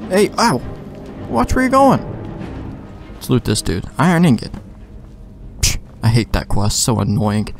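A man plays a video game and talks his way through it in a rambling, casual style. His mid-pitched voice is full of slang and swearing, with some game music behind him. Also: wildly swooping pitch, unhurried, vocal fry, long pauses. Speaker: let's play gamer. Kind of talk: casual gameplay commentary.

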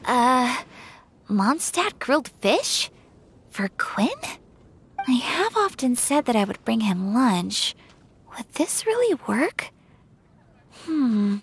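A woman's voice: on the high side, big pitch swings, slow, long pauses, a little hiss or hum, full character voice. An actress playing a lovelorn, doubtful young townswoman in an anime-style video game, hesitant and sighing.

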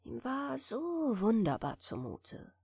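A woman gently narrating a bedtime fairy tale in a soft, soothing manner. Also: medium pitch, huge pitch swings, slow, gravelly, breathy edge, no room sound, clean studio sound. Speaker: audiobook reader.

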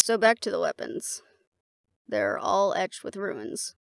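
A woman playing a battle-seasoned, fond and teasing mate in a roleplay audio drama, talking casually and intimately with a gravelly creak and hesitant pauses. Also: medium pitch, big pitch swings, no echo, full character voice. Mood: casual, explaining.

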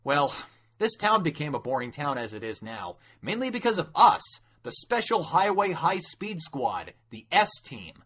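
Man playing a cocky, high-pitched highway speed cop. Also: sing-song, moderate pace, fairly bright tone, husky, heavily acted. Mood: smug. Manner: smug, showy, theatrical. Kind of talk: amateur anime dub reading.

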